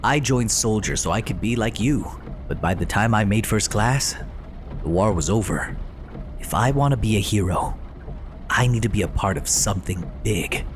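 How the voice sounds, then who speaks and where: An actor playing an eager, cocky young soldier in a video game. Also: male voice, fairly low pitch, expressive, moderate pace, slight background noise, close to an everyday voice. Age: young adult.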